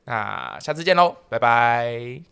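Male podcast host, bright tone, casual sign-off style. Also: mid-range voice, very animated, slow, gravelly, smooth. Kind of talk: casual show outro.

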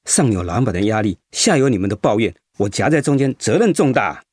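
Weary overworked middle manager. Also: male voice, fairly low pitch, big pitch swings, fairly quick, a little mellow, slightly rough, dry recording, studio quality. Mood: harried. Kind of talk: radio drama acting.

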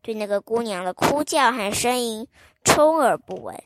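A woman storyteller reading a fairy tale to children in a slow, expressive, sing-song way. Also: a little high.

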